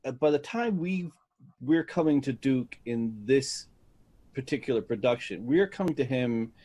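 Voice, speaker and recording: A middle-aged man talking casually as an actor being interviewed, telling stories and often pausing to search for words. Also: medium pitch, animated, dark tone, dry recording.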